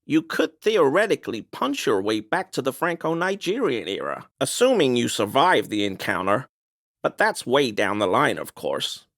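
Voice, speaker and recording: A man's voice: mid-range voice, big pitch swings, moderate pace, fairly bright tone, dry recording, studio quality. An actor playing a smug, conspiracy-minded man in a video game, speaking in an insistent, knowing, insinuating way. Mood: eager, theorizing. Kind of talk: video game dialogue voice lines.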